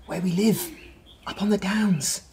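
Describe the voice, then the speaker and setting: A woman's voice: mid-range voice, fairly even intonation, unhurried, a little mellow, slight background noise, lightly acted. A woman playing a bold, friendly wild rabbit leader in a radio play, earnest and persuasive. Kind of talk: radio drama acting.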